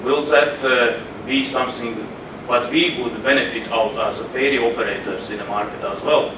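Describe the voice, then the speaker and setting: A man's voice: mid-range voice, steady tone, normal speed. Distant man asking from the floor. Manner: hesitant, searching for words. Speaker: audience member asking a question. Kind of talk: question from the floor at a panel. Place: conference hall. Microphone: room audio, away from the microphone. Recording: roomy and distant with background noise.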